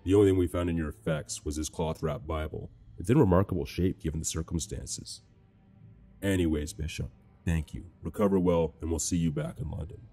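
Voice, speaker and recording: A man putting on a gruff American general with a low, mellow voice. He talks calmly and steadily to a wounded man, with faint music under him. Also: fairly quick. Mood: gentle. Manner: gruff, calm, reassuring.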